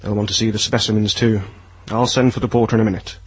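Man playing a husky, imperious lord. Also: on the low side, level tone, fast talker, no echo. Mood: weary, curt. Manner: commanding, clipped. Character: imperious, curt aristocratic lord, weary and menacing. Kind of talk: audio drama acting.

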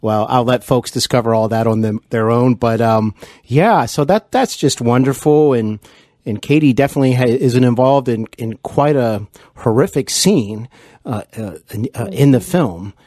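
A man's voice: medium pitch, moderate pace, a little mellow, dry recording. A man with a mid-range voice hosts an interview podcast in a dry recording. He speaks conversationally and effusively, stopping and repeating words as he feels for the next phrase.

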